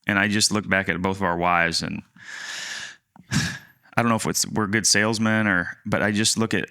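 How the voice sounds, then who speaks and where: A man around thirty co-hosting a podcast, telling a personal story in a casual, halting, rambling way. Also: fairly low pitch, steady tone, sharp tone, smooth, no echo, clean studio sound. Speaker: podcast co-host, land agent.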